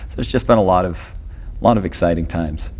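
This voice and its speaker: A middle-aged man, a coach, giving unscripted interview answers with hesitant, halting starts. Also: deep voice, a little mellow. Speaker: swimming coach, interview guest.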